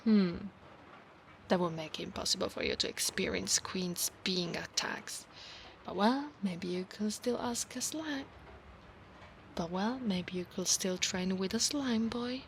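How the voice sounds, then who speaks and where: A woman with an Italian accent voicing an affectionate, possessive centaur knight in a soft ASMR roleplay, often slipping into breathy whispers. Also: medium pitch, measured pace, a little hiss or hum. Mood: chatty, teasing. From Italy.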